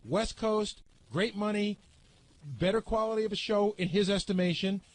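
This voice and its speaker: A man's voice: sits high, normal speed. A man in a heated, casual radio panel discussion, arguing bluntly and with some profanity. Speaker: radio show staff panelist.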